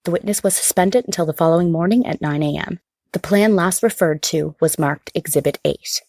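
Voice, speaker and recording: A woman's voice: on the low side, brisk, darkish tone. A woman narrating in a formal, factual scripted style, like a court record.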